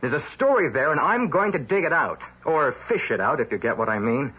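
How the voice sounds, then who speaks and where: An actor playing a stubborn, suspicious male newspaper reporter in a radio drama, talking fast and combative. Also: on the high side, animated, pushed and bright, husky, heavily acted. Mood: argumentative. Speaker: lead character in radio drama. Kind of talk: 1970s radio drama acting. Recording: muffled old radio broadcast.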